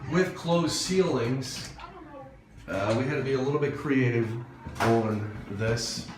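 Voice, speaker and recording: A man who installs security systems gives a casual, informal walkthrough, speaking haltingly as if thinking aloud. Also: medium pitch, music in the background. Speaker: security camera and intercom installer presenting a job. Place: walking around an apartment complex building. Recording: echoey, loud ambient and vehicle noise.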